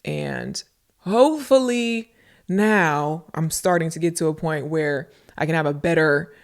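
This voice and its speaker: A woman's voice: sits low, measured pace. A woman hosting a podcast in a casual, informal way, talking directly to her listeners and repeating phrases for emphasis. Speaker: podcast host and content creator. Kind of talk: casual solo podcast talk answering listener questions.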